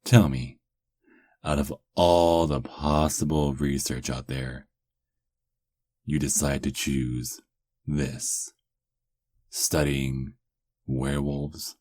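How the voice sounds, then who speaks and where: Male actor as flirty werewolf captive, rock-bottom pitch, unhurried drawl, sarcastic, teasing, ASMR roleplay, dry close recording. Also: fairly even intonation, a little mellow, no room sound, exaggerated voice. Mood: sly, mocking. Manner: teasing, sarcastic, slow drawl with lingering pauses.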